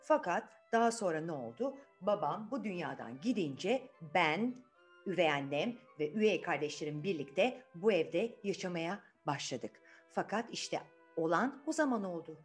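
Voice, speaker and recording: Woman as a put-upon kind young fairy-tale heroine, chatty, animated intonation, explaining to children, music behind. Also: fairly low pitch, moderate pace, pitched below the performer's own voice, close to an everyday voice. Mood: explaining, plaintive.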